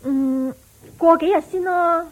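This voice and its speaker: An actress with a Hong Kong Cantonese accent playing a caring, teasing elder sister in a radio drama. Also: female voice, extremely high pitch, takes it slowly, rough recording.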